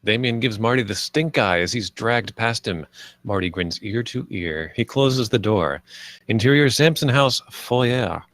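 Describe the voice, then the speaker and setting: A man's voice: on the low side, expressive, measured pace, a bit bright, dry recording. A man reading scripted stage directions as the narrator of a live screenplay table read.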